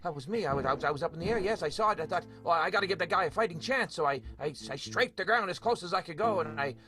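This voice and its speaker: A man's voice: high voice, expressive, racing, bright, forceful tone. A man acting in an improvised radio soap as a defensive vaudeville actor and former stunt flyer, hesitant and repeating himself. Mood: excited, boastful. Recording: music playing behind the voice.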